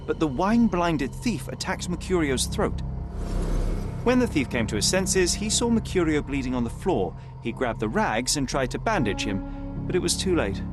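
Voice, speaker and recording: An actor voicing a brooding, proud young detective in a detective game, formal and clipped. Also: male voice, fairly high pitch. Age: young adult. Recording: music playing behind the voice.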